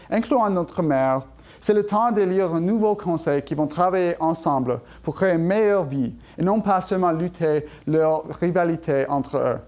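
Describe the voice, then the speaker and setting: Higher-voiced man giving an earnest campaign speech. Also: darkish tone. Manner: earnest, stumbles over words and self-corrects. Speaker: city council candidate.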